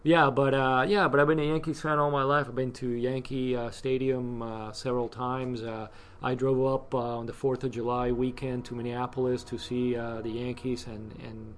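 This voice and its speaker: Cuban-born man, flat hesitant delivery. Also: mid-range voice, normal speed, hardly any pauses, a little hiss or hum. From Cuba. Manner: hesitant, many fillers and restarts. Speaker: guest, scouting volunteer. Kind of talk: casual interview chat.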